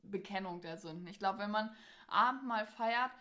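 A woman's voice: mid-range voice, moderate pace, fairly bright tone. A woman chatting casually and informally as co-host, playing a question card game. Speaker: co-host of a faith podcast.

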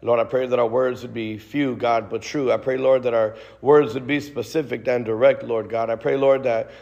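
A man's voice: mid-range voice, fairly even intonation, normal speed, creaky, a little mellow, lo-fi sound. A man preaching a sermon and praying aloud in a casual, conversational way, full of restarts, with "God" and "Lord" tags. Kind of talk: sermon with spoken prayer. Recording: long reverb of a large hall.

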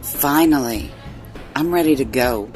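An actress voices a young college woman in an audio horror drama. She sounds uneasy, speaking in a low register at an unhurried, dragging pace, with music underneath. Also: a little mellow. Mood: weary.

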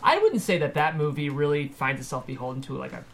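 Lively, higher-pitched man chatting. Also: fast talker, gravelly, slight background noise. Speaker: table read show panelist. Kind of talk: casual supportive discussion of screenwriting.

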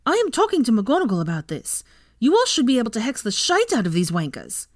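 A woman's voice: medium pitch, wildly swooping pitch, moderate pace, no room sound, studio quality, voice pushed up. A woman voicing a brash, fiery teenage girl with a blunt, emphatic manner in an audiobook. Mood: angry, indignant. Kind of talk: audiobook character voice.

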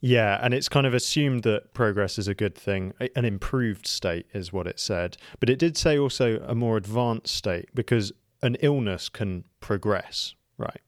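Low-voiced playful male co-host. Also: moderate pace, few pauses, dry recording, studio quality. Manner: playful, teasing, laid-back. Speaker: podcast co-host. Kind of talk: casual conversational podcast.

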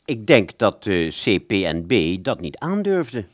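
A man playing a polite, old-fashioned gentleman in a radio play, formal and hesitant, with small stammers. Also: on the low side, sing-song, measured pace, no echo, clean studio sound. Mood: apologetic, explaining.

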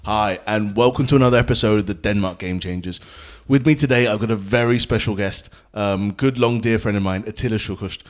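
A man hosting a podcast, talking in a casual, joking way. His voice sits low, and he restarts often and fills with "um". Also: a little fast, hardly any pauses, soft background music. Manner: chatty, joking, many fillers and restarts. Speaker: podcast host. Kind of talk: casual interview chat.

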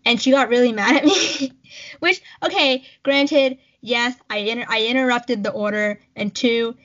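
A woman's voice: fairly high pitch, unhurried, fairly bright tone, highs cut off. A young woman whose first language is Cantonese, co-hosting a podcast in casual chat and telling a personal story with frequent laughs. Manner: chatty, laughs often, storytelling. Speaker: podcast co-host.

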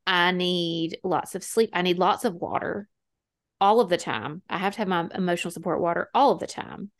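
A woman, roughly in her thirties or forties, hosting a casual true-crime podcast chat in a wry, self-deprecating way. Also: on the low side, normal speed. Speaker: podcast host.

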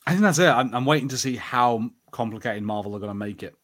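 A man's voice: mid-range voice, animated, fast, crowd noise. A man co-hosting a podcast, talking in casual, unscripted chat. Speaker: podcast co-host.

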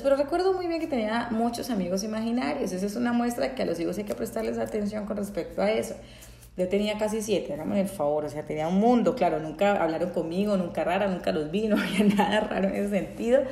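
Reflective Colombian woman, mellow voice. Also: mid-range voice, reverberant. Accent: Colombian, from Santander. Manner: candid, reflective, many fillers and restarts. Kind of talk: personal testimony talk.

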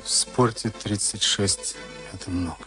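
An actor in a Soviet radio play as a moody, weary boxer of thirty-six. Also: male voice, fairly low pitch, fairly even intonation, a little slow, natural voice. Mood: weary, earnest. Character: moody, weary 36-year-old professional boxer and former prisoner. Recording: archival radio recording with music behind.

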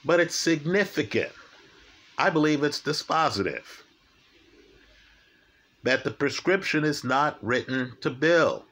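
A man's voice: fairly high pitch, animated, a bit bright. A man talking through a criminal case, stressing key facts and checking in with his listeners. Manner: slow, emphatic, repeats key facts and asks "right?". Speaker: true crime commentator. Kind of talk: true crime case commentary.

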